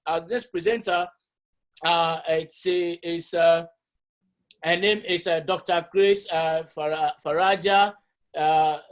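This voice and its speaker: A man hosts an online seminar and introduces the next presenter. His voice sits a little high for a man, and he stumbles through names with plenty of uhs and false starts. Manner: hesitant, many fillers and restarts. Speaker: webinar host. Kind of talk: introducing a speaker. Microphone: video call.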